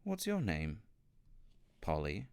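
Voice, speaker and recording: Man reading as a pert young storybook girl, lively. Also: sits low, takes it slowly, clean tone, exaggerated voice. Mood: curious. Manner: pert, direct. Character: polite, curious young girl, readily indignant.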